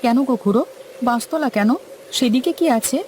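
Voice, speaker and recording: A woman playing a young, anxious village fisher hand in a cartoon, with plaintive, folksy rural speech and a creaky voice. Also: fairly high pitch, fairly quick, a little mellow, a little hiss or hum, lightly acted. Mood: puzzled. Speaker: cartoon voice actor. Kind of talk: dramatised Bengali cartoon story dialogue.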